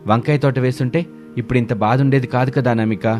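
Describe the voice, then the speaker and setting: Casting: male narrator as reproachful, demanding husband, medium pitch, family-story drama, faint music bed. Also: fairly even intonation, quick pace, a little mellow, natural voice. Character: irritated husband, demanding, siding with his mother. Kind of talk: dramatised moral story dialogue.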